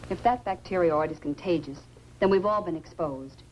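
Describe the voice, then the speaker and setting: A woman's voice: lowish voice, moderate pace, a little mellow, muffled recording, natural voice. Actress as serious, clinical woman doctor. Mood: clinical concern. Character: serious, precise female doctor and researcher. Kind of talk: 1950s science fiction film acting. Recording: noisy old 1950s film soundtrack.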